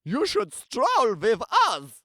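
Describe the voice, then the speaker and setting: A man's voice: very high-pitched, wildly swooping pitch, takes it slowly, bright, forceful tone, no echo, studio quality, voice pushed up, exaggerated voice. A man voicing a chirpy, inquisitive animal friend in a storybook, with a lilting, questioning delivery. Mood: eager.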